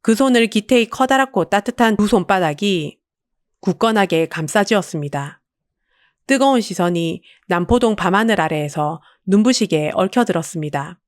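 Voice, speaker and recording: A woman narrates an audiobook in a dramatic, scripted storytelling style. Her voice is mid-pitched and recorded very dry, with little room sound. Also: animated, normal speed, no room sound.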